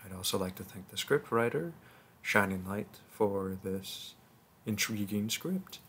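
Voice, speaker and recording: A man speaking softly in halting phrases, addressing his listeners in a reflective outro as an audio roleplay performer. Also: fairly low pitch, expressive, slight background noise.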